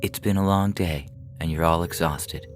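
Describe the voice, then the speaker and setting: A man's voice: low-pitched, moderate pace, natural voice. A man voicing a devoted mother in a science fiction story, tender and reassuring but firm, hiding her dread. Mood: stern, coaxing. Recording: music behind the voice.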